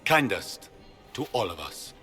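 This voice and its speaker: Game role: proud duke, forceful bright voice, unhurried oratory, echoing hall with music. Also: male voice, on the low side, big pitch swings, full character voice. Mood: curt, impatient. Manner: oratorical, deliberate. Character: proud, commanding grand duke at court. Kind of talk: theatrical video game acting. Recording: long hall reverb, music behind.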